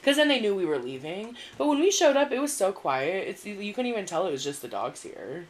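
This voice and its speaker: A woman co-hosting a casual podcast, telling stories with lots of expression. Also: fairly quick. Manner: animated storyteller, very expressive pitch. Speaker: podcast co-host. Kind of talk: casual chat.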